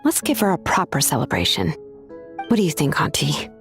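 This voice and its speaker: A woman's voice: low voice, big pitch swings, fairly quick, vocal fry, heavily acted. A woman playing an easygoing, considerate young woman in a video game, casual and reassuring. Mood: friendly persuasion. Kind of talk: English video game voice acting. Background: faint music.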